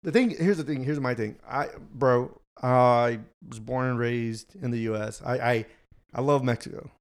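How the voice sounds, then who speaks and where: A man in his twenties, chatting casually with a friend. He swears freely and repeats words as he gets excited. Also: medium pitch, normal speed. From Houston, Texas. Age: 20s. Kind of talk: casual chat.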